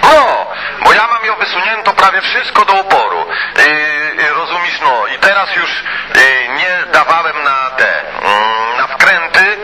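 A man's voice: on the high side, big pitch swings, a little slow. A man chatting casually over CB radio in a colloquial, rambling way, with mild swearing and filler phrases. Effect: CB radio transmission. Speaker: CB radio hobbyist. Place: two-way CB radio conversation. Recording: noisy CB radio transmission.

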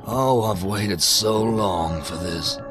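An actor playing an excitable, blustery man in an audio drama. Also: on the low side, slow pace, loud music behind the voice. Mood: expectant.

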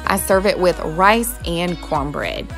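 A woman's voice: on the low side, slow, loud music behind the voice. A woman in her thirties narrating a home vlog in a chatty voice-over, often calling out to viewers as "you guys". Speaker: vlogger.

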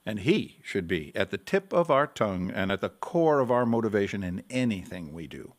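A man's voice: fairly low pitch, expressive, normal speed, close to an everyday voice. An actor playing a patient, firm male pastor teaching a Bible study in a radio drama, with emphatic delivery. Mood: firm, encouraging. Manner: instructive, emphatic.

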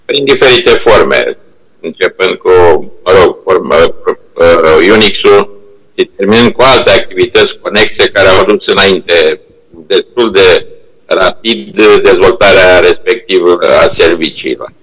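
An older man reminiscing informally over a video call, speaking haltingly as he searches for words. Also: low-pitched, a bit bright, poor audio. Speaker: internet company co-founder, remote guest speaker. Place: remote video call played to a meeting room. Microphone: video call shown on projection screen.

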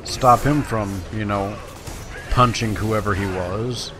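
Male let's play host, slow and casual, self-mocking wit, noisy game sound behind. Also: fairly low pitch. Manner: self-deprecating, wry. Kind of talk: casual gameplay commentary. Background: video game battle sounds.

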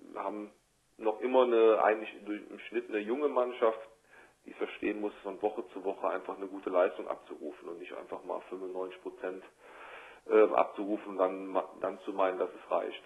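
Male football coach, interviewed by phone. Low, flat delivery, measured and hesitant, tinny line. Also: creaky. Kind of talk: phone interview, spontaneous sports talk. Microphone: telephone. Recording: tinny, band-limited telephone line.

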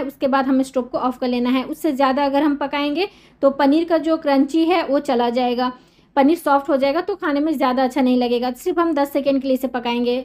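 A woman with a high, light voice talks through a recipe in a voice-over. She explains each step patiently and steadily, repeating the key instructions. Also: darkish tone. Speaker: cooking channel host.

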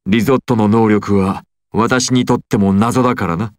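A man's voice: fairly low pitch, fairly even intonation, normal speed, darkish tone, no echo, natural voice. Imperious male mafia boss villain voiced by an actor, commanding, secretive and theatrical, anime game style, dry recording. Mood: tense, secretive. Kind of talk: anime and video game voice acting.

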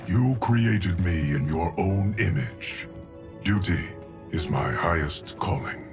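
An actor playing a solemn, dutiful timekeeper construct in a video game cutscene, speaking in a grave, formal, measured way. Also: male voice, sits low, expressive, music in the background, heavily acted. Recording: game audio with loud score.

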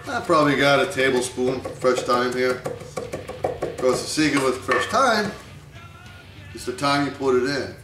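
A middle-aged man hosting a home cooking show, walking through a recipe in a relaxed, folksy way with wry jokes. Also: medium pitch. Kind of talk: casual cooking demonstration. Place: home kitchen, pans sizzling. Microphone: camera mic from a distance. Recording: echoey home video from far off.

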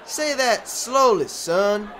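A drunken bully of a man, acted out by a reader, heckles in a high, forceful bark. He is loud and demanding, snapping out orders in a novel dramatization. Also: lively intonation, takes it slowly, some chatter behind, exaggerated voice. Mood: jeering.

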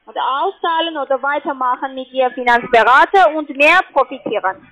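A woman who is a non-native speaker with a foreign accent, making a halting telephone sales pitch in broken grammar on a phone line. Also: fairly bright tone. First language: not German. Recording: tinny, muffled phone line.

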